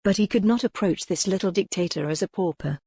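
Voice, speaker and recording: A woman reading scripted fiction as an audiobook narrator, in a measured, dramatic manner. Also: mid-range voice, warm tone, husky, no echo.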